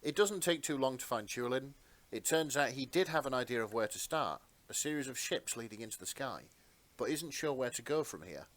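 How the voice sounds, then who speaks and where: A man with a middle-pitched voice narrates an adventure story. His phrasing is deliberate and theatrical, in a dramatic read-aloud style. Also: expressive, fairly bright tone.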